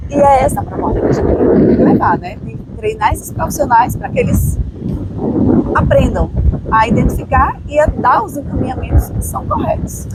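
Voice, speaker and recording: A woman's voice: sits high, big pitch swings, moderate pace, heavy background noise. A Brazilian woman speaking as an interview guest in a conversational, earnest, explanatory way, repeating phrases for emphasis. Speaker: podcast guest, speech therapist.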